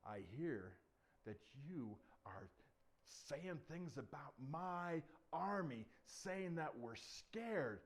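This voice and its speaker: A proud, commanding king played by a man telling a tale speaks with slow, stern deliberation. He sounds gruff and affronted, and his pitch moves freely. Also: animated.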